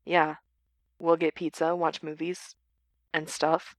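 A woman playing a low-key, slightly hesitant young man in an audiobook, in an understated manner. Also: level tone, takes it slowly, no room sound, clean studio sound.

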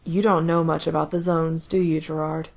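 A woman's voice: deep voice, level tone, normal speed, gravelly, a little mellow. A woman reading a cool, controlling male boss.

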